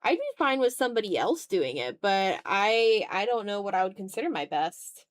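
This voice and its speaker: A woman's voice: medium pitch, very animated, normal speed. A woman chatting casually on an art livestream, thinking aloud in a hesitant, self-deprecating way. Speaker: streamer and content creator. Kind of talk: casual livestream chat while drawing.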